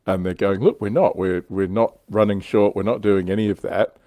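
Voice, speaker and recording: A man's voice: on the low side, steady tone, normal speed, warm tone, no room sound. A man hosting a casual hobby livestream, telling stories in an explanatory way with some swearing. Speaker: livestream host.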